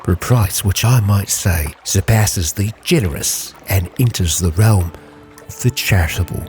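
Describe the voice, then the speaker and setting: A man acts out a smooth-talking gangster dealer from a narrated game tale, all florid flattery and false friendship. His theatrical speech is fairly low-pitched, with music clearly playing behind it. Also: measured pace. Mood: oily, effusive.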